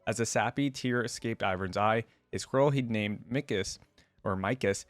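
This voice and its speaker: A man's voice: fairly low pitch, normal speed, no echo, soft background music. A man hosting a reaction video, reading a story aloud expressively and adding casual commentary.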